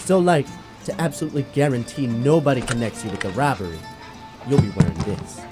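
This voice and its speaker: An actor playing a blunt, streetwise gangster in a radio drama, coolly pressuring. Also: male voice, medium pitch, brisk, lightly acted. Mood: cool pressure. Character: blunt adult male gangster, cool and pressuring. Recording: prominent music bed behind voice.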